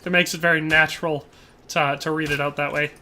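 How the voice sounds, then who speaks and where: A jokey male game streamer chatting through live gameplay and reading out chat. His voice is high and bright, with a faint hiss underneath. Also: flat delivery, fairly quick. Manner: jokey, chatty, laughs often.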